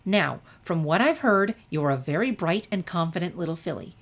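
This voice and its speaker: A woman's voice: lowish voice, animated, normal speed, gravelly. A woman voicing an eager, pushy female unicorn drama professor in a story reading, coaxing and insistent.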